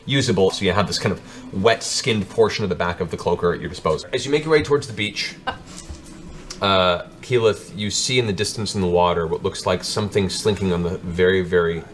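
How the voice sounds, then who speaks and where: A man's voice: sits low, a little fast. A man narrating a tabletop fantasy game as game master, in a descriptive, dramatic storytelling manner. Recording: music playing under the voice. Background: background music.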